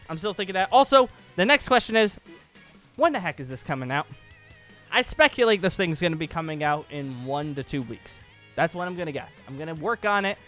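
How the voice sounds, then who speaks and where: A man with a fairly high, very bright voice talks as the host of a gaming channel. He rambles casually and gets emphatic and excitable as he makes his points. Faint music plays behind him. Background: background music.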